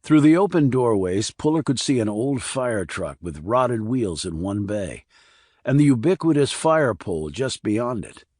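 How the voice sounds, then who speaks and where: A man's voice: mid-range voice, animated, unhurried, a little mellow, husky, no echo, studio quality. A man reading scripted crime thriller narration as an audiobook narrator.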